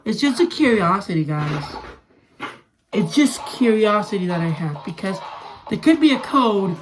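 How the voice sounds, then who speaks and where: A man's voice: high voice, sing-song, unhurried. A young adult man talking casually through a hands-on demonstration for a home vlog. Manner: narrates own actions while handling toys. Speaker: toy video creator. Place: small room at home. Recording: noisy home recording with clicks.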